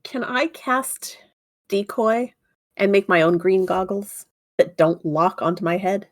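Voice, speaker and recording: A woman's voice: mid-range voice, measured pace, no room sound. A woman playing a tabletop game, chatting casually with a dry, wry manner.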